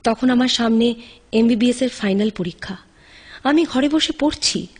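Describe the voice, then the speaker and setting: A woman's voice: fairly high pitch, moderate pace, slightly rough. A woman playing a tender young female student in love in an audio play, speaking earnestly and expressively. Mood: wistful, reminiscing. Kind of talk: Bengali audio play acting with monologue.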